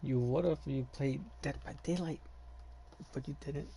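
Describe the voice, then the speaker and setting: A man's voice: medium pitch, normal speed, gravelly, mellow, a little hiss or hum. A man chatting casually while gaming, in a slangy, rambling way.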